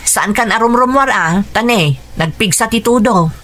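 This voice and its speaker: A woman's voice: on the high side, a little slow, a bit bright. A woman with an Ilocano accent playing a hard-working, exasperated wife and mother in a radio drama, scolding in a plain-spoken way.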